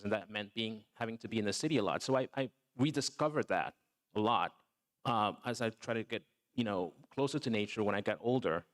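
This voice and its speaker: A man born in Togo, a film producer and guest on a panel, answering reflectively and often adding "you know" and "right". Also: fairly low pitch. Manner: thoughtful, repeats words when starting, says "you know" and "right".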